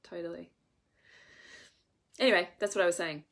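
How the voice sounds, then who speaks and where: A woman's voice: on the low side, steady tone, normal speed, fairly bright tone. A woman in her thirties with a congested head cold, speaking earnestly and emphatically to her viewers as a coach in a live stream, sometimes swearing for emphasis. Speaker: women's coach.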